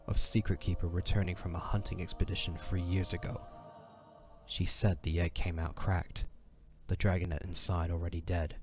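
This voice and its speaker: Low-voiced male storyteller over faint music. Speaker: narrator. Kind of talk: scripted audio drama storytelling narration.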